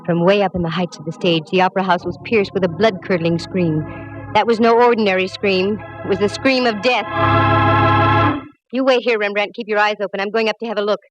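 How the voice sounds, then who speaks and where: A woman's voice: medium pitch, fairly even intonation, a little fast, close to an everyday voice, low-quality recording. A woman playing a wisecracking private detective, narrating in wry hardboiled style in a 1940s radio drama. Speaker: lead actress and narrator. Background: music.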